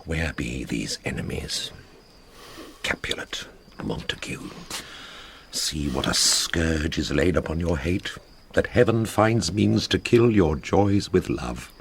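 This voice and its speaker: Deep-voiced, stern ruling prince. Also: male voice, lively intonation, takes it slowly, gravelly, a little hiss or hum, exaggerated voice. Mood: stern, grieving. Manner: authoritative, formal, declamatory. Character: commanding, stern male prince passing judgement. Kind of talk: classical Shakespearean radio drama acting.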